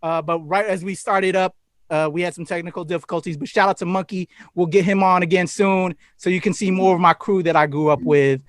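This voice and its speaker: A man's voice: high-pitched, steady tone, brisk, no room sound, clean studio sound. A man hosting a podcast, laid-back and slangy as he opens the episode and hands off to his co-hosts. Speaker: host. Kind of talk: casual podcast intro.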